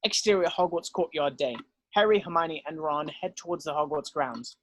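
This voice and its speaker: Higher-voiced man narrating a script, dry sound. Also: moderate pace, a bit bright, dry recording, rough recording. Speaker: stage-direction narrator.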